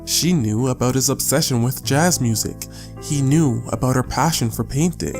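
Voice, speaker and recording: A man reading scripted audiobook narration as a storyteller, measured and with deliberate pauses. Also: mid-range voice, darkish tone. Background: guitar music.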